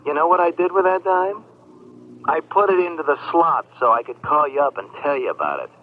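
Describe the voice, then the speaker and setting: A man's voice: a little high, animated, a little fast. An actor playing a bitter, sarcastic man in a vintage radio drama, heard through a telephone filter. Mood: wry, bitter. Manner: sardonic. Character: bitter, sarcastic man calling from phone booth. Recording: tinny phone line with faint noise.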